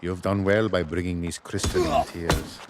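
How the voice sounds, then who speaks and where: A deep-voiced, mournful man of solemn bearing , played by a male actor in a fantasy game. He speaks with portentous sorrow, very low in pitch. Heavy noise sits behind him. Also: steady tone, moderate pace, natural voice. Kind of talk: scripted video game quest dialogue.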